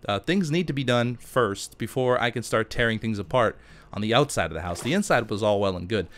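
A man's voice: fairly low pitch, animated, a little fast, fairly bright tone. A man giving casual gameplay commentary, thinking aloud as he chats and answers questions. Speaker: gaming YouTuber.

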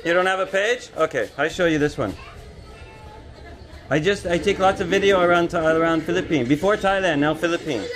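A man's voice: a little high, fairly quick, over music. A middle-aged man from Canada walking through a village and chatting with locals for his travel vlog, in short simple phrases. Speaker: travel vlogger. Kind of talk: casual walking vlog chat. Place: outdoors in a busy village neighborhood. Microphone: action camera held or worn on body. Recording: outdoor crowd and street noise.

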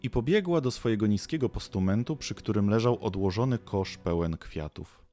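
Low-voiced man narrating a story. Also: expressive, normal speed, mellow, soft background music. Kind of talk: scripted storybook narration for a radio play.

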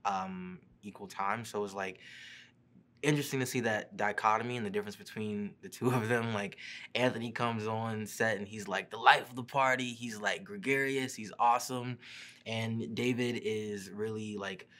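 A man's voice: lowish voice, moderate pace. A young man, an actor, answering interview questions casually with fillers and restarts, seated in a studio. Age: young adult. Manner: relaxed, many fillers and restarts. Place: studio seat before a printed backdrop.

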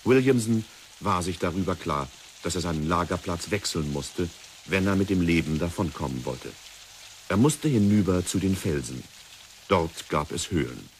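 Deep-voiced man narrating a radio play. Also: animated, slight background noise. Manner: measured, dramatic storytelling. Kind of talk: scripted radio drama narration.

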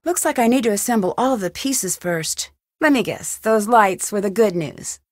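A woman's voice: mid-range voice, expressive, normal speed, a little hiss or hum, natural voice. An actress playing a curious, upbeat young woman detective in an adventure game, thinking aloud in short scripted remarks. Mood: thoughtful.

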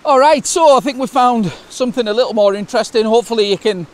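A middle-aged British man talking casually to camera outdoors, raising his voice over a rushing waterfall. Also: very high-pitched, soft background music. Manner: raised voice, projecting over water noise. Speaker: landscape photography vlogger. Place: outdoors on a hillside beside a waterfall.

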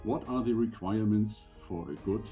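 An older man, around seventy, giving an instructional slide presentation in measured, deliberate short phrases, recorded indoors on a computer microphone. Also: fairly low pitch, warm tone, soft background music. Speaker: presenter giving medical advice for sailors.

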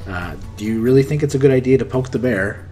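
A man's voice: medium pitch, lively intonation, quick pace, darkish tone, slightly rough, soft background music. A man in his forties teaching English with matter-of-fact definitions and scripted dialogue.

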